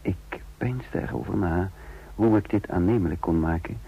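An actor playing a shrewd, calculating undercover intelligence officer in a radio drama, speaking in a measured, formal, husky voice. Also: male voice, deep voice, full character voice. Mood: reflective, calculating. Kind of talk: radio drama acting and narration. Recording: dull lo-fi old radio recording.

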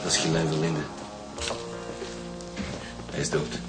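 An actor playing a gruff, commanding chief detective in a radio drama, with a Flemish accent and a curt, authoritative way of talking. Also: male voice, very deep voice, completely flat delivery, a little slow, over loud music. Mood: grim, brisk.

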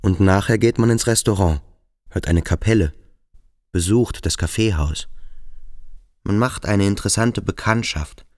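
Yearning, introspective schoolboy, acted by a man with a deep voice, radio drama, close dry sound. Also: unhurried, pauses often, darkish tone, no echo, natural voice. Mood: wistful. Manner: introspective, literary. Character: melancholy, yearning schoolboy lost in his thoughts. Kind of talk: radio drama acting, interior monologue.

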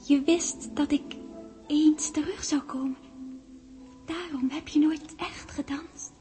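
An actress playing a gentle, eerie young woman in a 1960s Dutch radio play, hushed and dreamy, often slipping into a whisper. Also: sits high, steady tone, slow pace. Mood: soothing, dreamy. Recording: 1961 radio recording, music behind.

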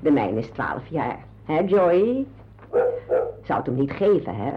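An actress playing a sociable older widow in a radio drama, garrulous and confiding. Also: female voice, low voice, sing-song, slow pace, a little hiss or hum, heavily acted. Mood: friendly. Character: chatty, sociable widow devoted to her poodle. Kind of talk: radio drama acting, conversational. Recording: dull lo-fi radio play recording.

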